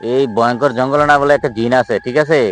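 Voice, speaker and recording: A man's voice: medium pitch, fairly even intonation, fast, a little hiss or hum, close to an everyday voice. A man playing an earnest, reassuring villager in an improvised comedy skit, repeating himself and constantly checking for agreement. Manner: repetitive, constantly seeking agreement. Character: earnest villager guide organizing a jinn hunt, reassuring.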